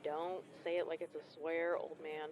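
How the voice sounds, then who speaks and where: An actor playing a wry biologist who teases colleagues dryly, in an audio drama. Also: male voice, fairly high pitch, flat delivery, moderate pace, close to an everyday voice. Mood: teasing. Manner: dry, teasing.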